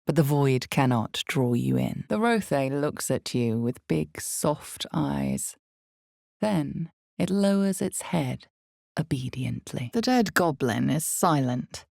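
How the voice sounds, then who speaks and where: Very deep, creaky dark fantasy game narrator. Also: female voice, dry recording, studio quality, exaggerated voice. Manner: measured, deliberate, brooding, theatrical. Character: omniscient female narrator of a dark fantasy game, grave and ominous. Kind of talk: dramatic scripted second-person fantasy narration.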